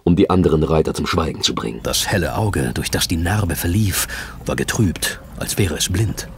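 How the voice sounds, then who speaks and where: Deep male narrator, expressive and suspenseful, dramatic fantasy audiobook over clear ambient noise. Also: moderate pace.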